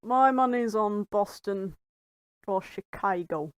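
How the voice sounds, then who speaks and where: A woman with a London-influenced English accent talks casually with co-hosts. Her voice sits at a middle pitch and is recorded very dry. She has a joking, storytelling way of talking. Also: slow pace, clear, resonant tone, dry recording. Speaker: podcast co-host. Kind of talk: casual chat.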